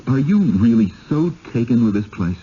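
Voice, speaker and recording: An actor playing a suspicious, proud city husband in a 1970s radio drama, emphatic and incredulous. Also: male voice, medium pitch, very animated, moderate pace, mellow, slightly rough. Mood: indignant, exasperated. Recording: muffled, hissy 1970s radio broadcast.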